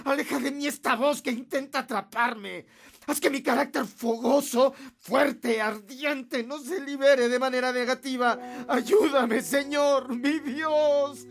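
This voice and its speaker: A man's voice: very high-pitched, takes it slowly, creaky, fairly bright tone, exaggerated voice. An actor playing a fiery, fervent young male seminarian in a radio drama. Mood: desperate prayer.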